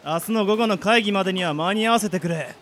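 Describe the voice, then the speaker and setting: A man's voice: high-pitched, fast talker, a bit bright. A man playing a stern middle-aged department boss in an amateur radio drama, demanding and scolding.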